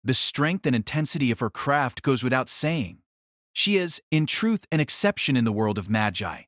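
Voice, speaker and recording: A man narrating a fantasy audio drama in a steady, even storytelling manner. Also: medium pitch, moderate pace, no echo, studio quality.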